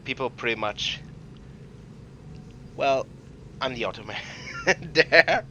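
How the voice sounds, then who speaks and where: A man's voice: medium pitch, expressive, slow pace, pushed and bright, lo-fi sound, slight background noise. A man who is not a native English speaker, giving casual, rambling let's play commentary, often interrupting himself. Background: video game music and sounds.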